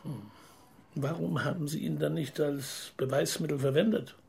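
An actor playing a measured, sceptical journalist in a radio play, speaking reflectively with pauses. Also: male voice, fairly high pitch, fairly even intonation, vocal fry, a little mellow, breathy edge, heavily acted. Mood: probing. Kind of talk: radio play narration and dialogue.

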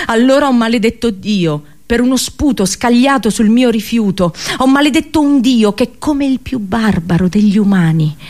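An actress playing an accursed princess and prophetess in a radio drama monologue, with a solemn, intense storytelling delivery. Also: female voice, medium pitch, darkish tone, natural voice. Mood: angry, accusing. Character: Cassandra, bitter accursed Trojan princess and prophetess. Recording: voice over background music. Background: music.

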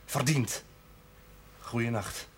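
A man's voice: mid-range voice, drawn-out words, husky, full character voice. An actor playing a bitter, tormented young male sinner in a television drama, sarcastic and desperate, swinging from muttering to outbursts. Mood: cynical, subdued. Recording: noisy 1970s TV soundtrack.

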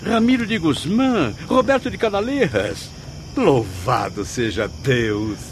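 An actor playing an anguished, earnest spirit with wounded pride, speaking in formal literary diction in a radio drama. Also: male voice, fairly high pitch, animated, slow pace, slight background noise. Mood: relieved, grateful.

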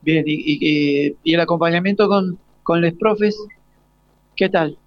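A man with an Argentine accent and a notably high voice conducts an informal interview. His questions come haltingly, restarting as he goes. The sound is very dry and slightly lo-fi. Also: no echo. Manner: hesitant, halting questions with repeated starts. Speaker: radio interviewer. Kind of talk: informal live interview with students. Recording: slightly dull, lo-fi live broadcast.

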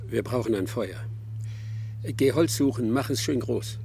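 An older logger in a radio drama, with a gravelly, mellow voice. He speaks tersely and stubbornly, unflustered, over a faint hum. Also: medium pitch, a little slow. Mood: terse, determined. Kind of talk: German radio drama acting.

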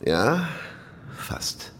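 An actor playing a cold, authoritative government minister in a radio drama, stern, clipped and imperious. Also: male voice, extremely slow, fairly bright tone. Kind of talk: German radio drama acting. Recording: long hall reverb, audible rumbling noise.

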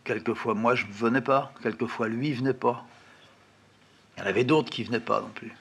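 Guy narrating an old memory, gravelly and kinda husky, low voice, calm and plain. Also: moderate pace. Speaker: storyteller. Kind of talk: first-person memoir narration.

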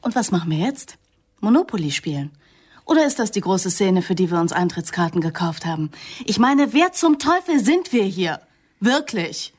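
An actress playing a defiant, sarcastic young woman in a radio drama, with a husky voice and a challenging, emotional manner. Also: medium pitch, sing-song, moderate pace. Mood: mocking.

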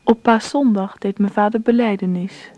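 A woman's voice: medium pitch, steady tone, normal speed, dark tone, soft background music, lightly acted. A woman playing a composed narrator telling her family's story in a Dutch radio drama. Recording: dull dry old radio recording.

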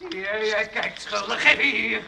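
A man's voice: high voice, takes it slowly, gravelly, sharp tone, a little hiss or hum, heavily acted. A man playing a flustered, easily outraged notary in a Dutch radio comedy, exclaiming, stammering and repeating himself. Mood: agitated, accusing. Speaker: actor in a radio play. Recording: old radio play recording.

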